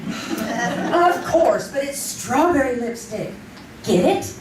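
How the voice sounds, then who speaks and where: A woman's voice: fairly high pitch, expressive, slow, noisy background. An actress playing a stubborn, intuitive female sidekick in an old-time radio drama, with an insistent, knowing manner. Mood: sly, knowing. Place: community theater stage. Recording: roomy, distant live stage recording.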